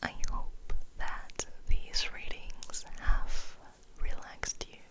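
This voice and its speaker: A woman speaking in a breathy, intimate whisper, soothing the listener as she reads and rambles in an ASMR style. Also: drawn-out words. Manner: intimate, soothing, repeats words softly. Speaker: ASMR host reading poetry aloud.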